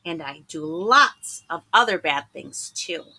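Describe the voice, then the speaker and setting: A small storybook sunflower seed confesses to being bad, as a woman reader acts it out. The delivery is slow, confiding and dramatic, with big swings of pitch and a bright edge, glum yet earnest. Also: expressive, husky, heavily acted. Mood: confessing, defiant. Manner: dramatic, confiding, drawn-out emphasis. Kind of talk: picture book narration in first person.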